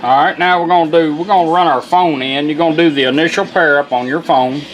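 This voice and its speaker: A man's voice: on the high side, steady tone, fast, low-quality recording. A middle-aged man giving a casual hands-on product demonstration, explaining step by step in a folksy, informal way. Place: indoors at a workbench.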